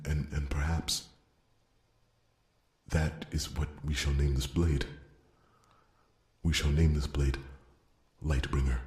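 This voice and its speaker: A man playing a timid, devoted blacksmith in an ASMR fantasy roleplay, speaking formally and haltingly, often slipping into a breathy whisper. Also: a bass voice, a little mellow, reverberant. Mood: solemn, hopeful. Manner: halting, stammering, formal and deferential. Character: timid, devoted male blacksmith, earnest and easily flustered. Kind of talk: ASMR roleplay, soft-spoken dramatic monologue.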